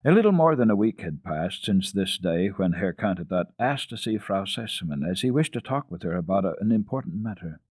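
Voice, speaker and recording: A man narrating a children's storybook dramatically, with expressive, deliberate phrasing and pauses. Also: sits low, slightly rough, no echo, studio quality. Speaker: reader of children's classics on a radio program.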